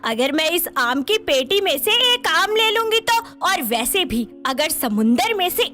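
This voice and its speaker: A woman's voice: very high voice, sing-song, unhurried, sharp tone, full character voice. A woman voicing a playful, wheedling young woman, a big sister in a cartoon story, with theatrical delivery. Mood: wheedling protest. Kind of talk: animated moral-story cartoon voice acting.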